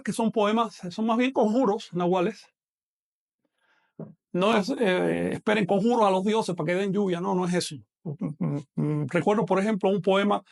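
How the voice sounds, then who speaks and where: High, gravelly-voiced man lecturing. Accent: non-Mexican Spanish. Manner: explanatory, addresses students directly with check-in questions. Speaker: language teacher. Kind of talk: online lecture.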